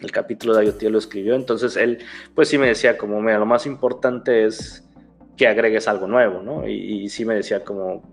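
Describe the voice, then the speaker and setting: A man's voice: on the low side, fairly even intonation, a little fast, creaky, soft background music. A young Mexican man with a Mexican Spanish accent, talking casually as an interview guest, rambling, restarting phrases and adding fillers. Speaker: guest, security researcher.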